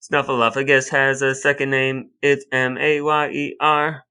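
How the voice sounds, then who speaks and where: A man's voice: medium pitch, level tone, a little slow, sharp tone, clean tone. A man co-hosting a podcast in casual, joking chat, with a wisecracking way of talking. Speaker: podcast co-host.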